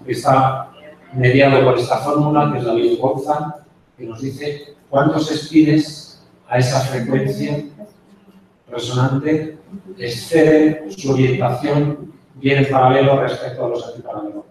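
Male lecturer, 60s, Castilian Spain accent, even delivery with pauses, very reverberant auditorium recording. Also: mid-range voice. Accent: Spanish from Spain. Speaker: guest lecturer, chemist. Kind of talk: conference lecture. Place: university auditorium, indoors. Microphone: handheld mic, picked up by distant camera. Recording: echoey hall from far off, faint noise.

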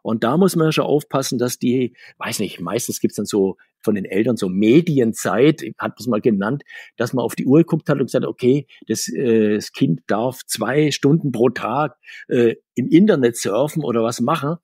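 Male interview guest, husky tone, talkative and self-correcting, dry close sound. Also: medium pitch, moderate pace, darkish tone, no echo. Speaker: guest, comics author. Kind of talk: conversational podcast interview.

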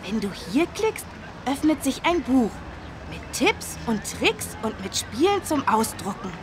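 A woman's voice: on the high side, very animated, unhurried, music in the background. A woman voicing an eager, helpful young schoolboy who explains things in a children's learning game. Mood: inviting, helpful.

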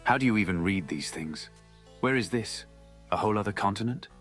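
A man voicing a gruff half-wolf knight in a comic dub, earnest and dim-witted, with solemn, old-fashioned phrasing. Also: lowish voice, expressive, a little fast, a bit bright, faint music behind. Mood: earnestly puzzled. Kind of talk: dramatic comic dub acting.

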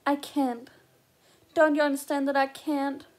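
A woman's voice: high-pitched, level tone, measured pace. A young woman in an amateur fandub, playing a pleading, defiant teenage girl searching for her brother. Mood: anxious, insistent. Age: young adult. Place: small living room, indoors.

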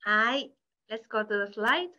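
A woman's voice: on the high side, unhurried, pushed and bright. A young woman giving an informal online presentation as a university representative, heard over a video call. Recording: dull lo-fi video call audio.

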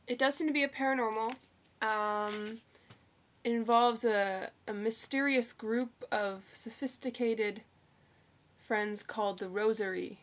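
A young woman with a slightly croaky voice from a cold, chatting upbeat about new books into a webcam in her bedroom. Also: mid-range voice, fairly bright tone. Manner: chatty, upbeat, quick asides. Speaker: book vlogger. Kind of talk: casual book haul chat. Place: bedroom at home. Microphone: webcam at desk.

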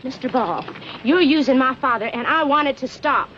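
A woman's voice: high voice, lively intonation, moderate pace, slight background noise. A young woman playing an earnest, indignant frontier crusader in a TV western. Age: young adult. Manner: earnest, insistent. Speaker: actress in a television western. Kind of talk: 1960s TV western acting. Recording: dull vintage TV soundtrack.

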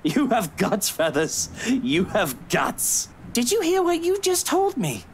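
Arrogant, theatrical villain, wildly swooping pitch. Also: male voice, wildly swooping pitch, slight background noise, full character voice. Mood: cold menace. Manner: haughty, drawn-out taunting delivery. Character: arrogant wealthy blond villain, a menacing man. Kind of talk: visual novel game acting.

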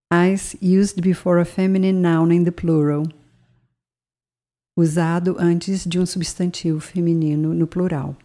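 A woman's voice: lowish voice, warm tone. A woman narrating a scripted bilingual language lesson, speaking carefully and spelling out words. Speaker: language teacher.

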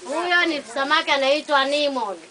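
A woman's voice: high-pitched, moderate pace. A woman interpreting live for young children in a conversational way, often adding short checking questions. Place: open-sided thatched hall with children. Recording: very noisy hall, recorded from a distance.

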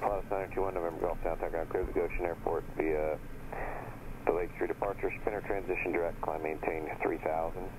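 Deep fast-talking controller on radio. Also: male voice, fairly even intonation. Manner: rapid clipped phraseology. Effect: aviation radio. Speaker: air traffic controller. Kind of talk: radio clearance delivery. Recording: noisy radio transmission.

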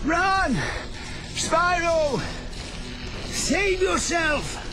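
An actor playing an older male dragon mentor in a video game, shouting in desperation. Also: very high-pitched, extremely slow, over music, heavily acted. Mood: desperate, urgent. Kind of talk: video game cutscene acting.

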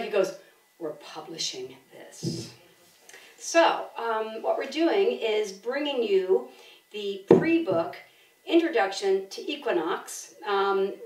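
An older woman hosting an event from a stage lectern, introducing informally in a rambling, enthusiastic way. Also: medium pitch. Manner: rambling, enthusiastic, animated gestures. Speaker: event host and publisher. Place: on a theatre stage. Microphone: gooseneck lectern microphone. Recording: roomy theatre, distant from mic.

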